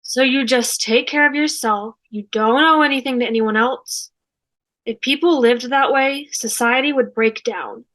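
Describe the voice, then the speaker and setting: A woman's voice: high voice, a little slow, a bit bright. A woman in an amateur table read over a video call, playing a reasonable bartender. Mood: reasoning. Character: reasonable bartender arguing for rules.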